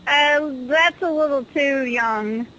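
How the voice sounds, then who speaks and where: A woman's voice: high-pitched, slow, bright, forceful tone. A woman working as a store employee, helpfully and tentatively answering a customer on the phone. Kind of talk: casual customer-service phone talk. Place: store, on a telephone. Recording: noisy phone line call.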